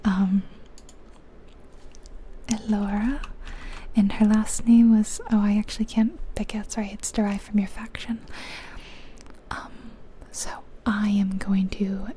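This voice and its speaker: Female ASMR gaming host: breathy whisper, very soft dark tone, flat and gentle delivery, slight noise in the recording. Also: medium pitch. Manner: gentle, unhurried, informal. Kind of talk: whispered ASMR game commentary.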